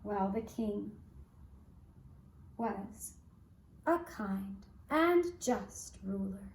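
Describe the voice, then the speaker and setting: A woman narrating a children's fairy tale as a puppet show storyteller, deliberately, with long pauses between phrases. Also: mid-range voice, lively intonation, slight background noise. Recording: roomy, echoey sound.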